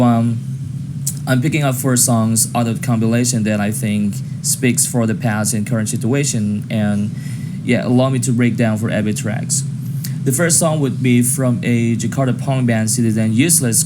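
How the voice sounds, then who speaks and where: Indonesian-accented man in his thirties, noisy recording. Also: mid-range voice. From Aceh, Indonesia. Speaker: punk scene member introducing compilation songs. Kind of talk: informal spoken introduction to music.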